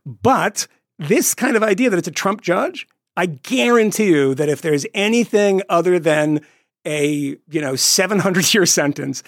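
A man co-hosting a podcast, talking in a quick, digressive, jokey way about politics. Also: high-pitched, dry recording, clean studio sound. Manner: quick, digressive, jokey, slips into put-on voices. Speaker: podcast co-host. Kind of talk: casual political chat.

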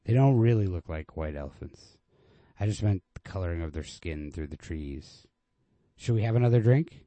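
A man's voice: deep voice, expressive, moderate pace, warm tone, no echo. A man voicing a restless, wry, needling young woman in a storybook read-aloud, pointed and questioning. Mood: subdued, questioning.

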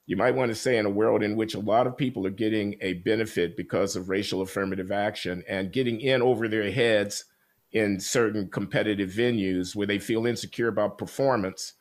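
A man questioning his guest in a probing, measured way, pausing with hesitations as he builds his point. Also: fairly low pitch, fairly even intonation. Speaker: interviewer. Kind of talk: conversational discussion.

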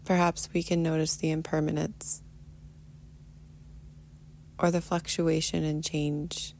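Low, soft-spoken woman guiding meditation. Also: level tone, slight background noise. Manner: soothing, unhurried, often trailing into breathy near-whisper. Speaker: guided meditation teacher, podcast host. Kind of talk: guided meditation, soft instruction.

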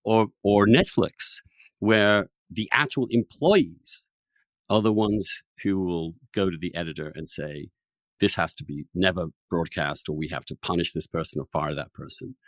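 A man's voice: sits low, a little slow, a bit bright, no echo. A man hosting a podcast, giving opinionated conversational commentary and often repeating and restarting his phrases. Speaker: podcast host.

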